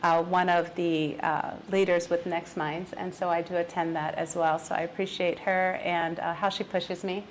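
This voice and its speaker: A woman aged fifty-nine chatting casually as an interview guest, talkative and quick to laugh. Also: on the low side, level tone, a little hiss or hum, rough recording. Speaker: podcast guest, business owner.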